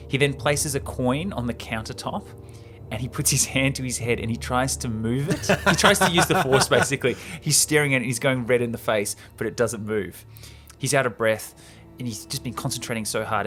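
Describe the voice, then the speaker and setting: A man hosting a film show, giving casual commentary and an animated, slangy recap of a story. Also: mid-range voice. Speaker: host of a film report show.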